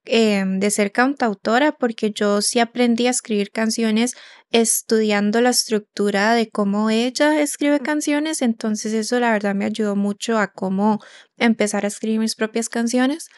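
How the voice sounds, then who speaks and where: A young woman raised in Canada, chatting casually on a podcast as co-host, with lots of fillers and quick laughs. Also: on the high side, fairly even intonation, no room sound, clean studio sound.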